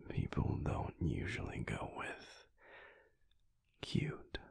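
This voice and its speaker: A young man playing a big, bashful, affectionate werewolf boyfriend in an intimate audio roleplay, speaking softly and haltingly in a husky near-whisper. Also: dry recording, natural voice. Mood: tender, earnest. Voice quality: breathy, husky, gravelly. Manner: halting, soft, hesitant with small chuckles.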